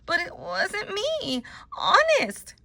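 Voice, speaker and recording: A woman's voice: extremely high pitch, lively intonation, fast, sharp tone, voice pushed up, heavily acted. A woman voicing an innocent young schoolgirl from a storybook, earnest and questioning. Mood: hurt, pleading.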